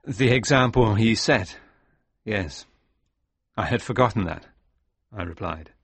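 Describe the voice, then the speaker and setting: A man acting as a sombre sailor looking back on his past in an audiobook. His voice is very low and sits close and dry, and he tells his story with grave, measured care. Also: expressive, breathy edge, no room sound, exaggerated voice. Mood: subdued, grave. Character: Marlowe, sombre reflective male sailor recounting his past. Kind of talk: dramatised audiobook narration.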